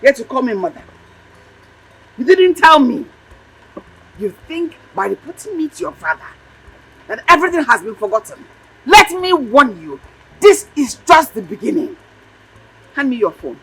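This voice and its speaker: A woman's voice: deep voice, animated, normal speed, full character voice, low-quality recording. An actress playing a middle-aged, domineering mother in a melodramatic film, speaking in an emphatic, declamatory, repetitive way. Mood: furious, threatening. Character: domineering mother and stepmother, fierce then ingratiatingly apologetic. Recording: clear background noise.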